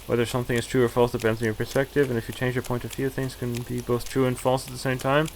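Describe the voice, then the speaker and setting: A man's voice: mid-range voice, level tone, quick pace, a little hiss or hum, rough recording. A man streaming a visual novel, reading the narration aloud casually, with stumbles and asides. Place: at home, streaming. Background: faint game music.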